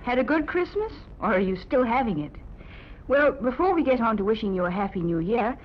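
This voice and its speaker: A woman's voice: fairly high pitch, big pitch swings, brisk, muffled recording, slight background noise. A young woman presenting a scripted 1950s youth radio show, on an old broadcast recording. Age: young adult.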